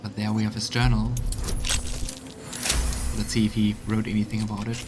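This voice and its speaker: A man's voice: fairly low pitch, completely flat delivery, moderate pace, music in the background. A man streaming a game, reading texts aloud and musing on them in gameplay commentary. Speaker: let's play streamer.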